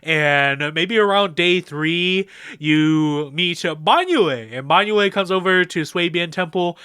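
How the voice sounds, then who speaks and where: A young man giving casual, rambling fan commentary over gameplay in a slangy, excitable way, acting out characters' lines as he goes. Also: high-pitched, slow pace, smooth, dry recording, studio quality. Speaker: video creator.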